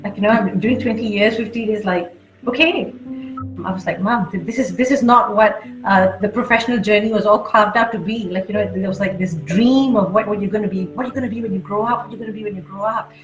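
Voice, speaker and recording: A woman's voice: mid-range voice, quick pace. A middle-aged woman from Malaysia, an interview guest talking casually and candidly about herself, with self-deprecating asides. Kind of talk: casual conversational storytelling. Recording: roomy dull audio, clear music behind.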